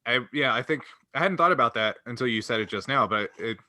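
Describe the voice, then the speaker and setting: A man's voice: mid-range voice, lively intonation, fast talker, pushed and bright, dry recording. A man chatting casually as a panelist in a fan discussion of a TV series. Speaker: podcast panelist.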